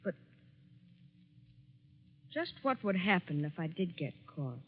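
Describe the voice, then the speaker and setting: A dull, lo-fi vintage radio recording of a jilted woman bent on revenge. Her voice is husky, and she speaks in a deliberate, measured way with the despair of 1940s melodrama. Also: lowish voice, sing-song, exaggerated voice. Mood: grimly resolved.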